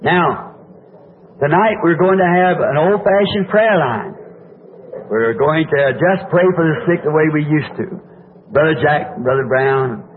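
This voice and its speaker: A hoarse older man preaches in a folksy, rambling way, stopping to ask his congregation questions. His voice sits a little high for a man. It comes through a thin, phone-like old recording with a faint hiss underneath. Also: animated, a little slow. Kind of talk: sermon. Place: church meeting.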